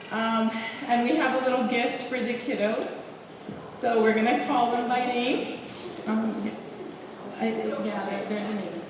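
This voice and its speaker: A woman speaking informally as a parent booster, thanking people and calling out names in a school theater. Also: on the high side. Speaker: drama club booster parent. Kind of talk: informal thank-you speech and name calling. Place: school theater auditorium with audience. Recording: echoey theater hall, distant mic, crowd noise.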